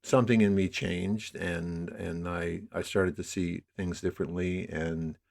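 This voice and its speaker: An older man being interviewed as a podcast guest, telling stories conversationally in a halting, rambling way. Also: sits low, fairly even intonation, creaky, darkish tone. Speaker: podcast guest, media producer.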